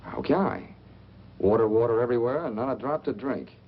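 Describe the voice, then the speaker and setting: A man's voice: mid-range voice, lively intonation, fairly quick. An actor playing a cold, evasive man on the run, terse and guarded, in a 1940s film noir style. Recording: muffled, hissy old film soundtrack.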